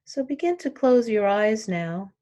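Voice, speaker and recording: A woman teaching yoga nidra, guiding a relaxation meditation in calm, short instructional phrases with long pauses between them. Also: on the high side.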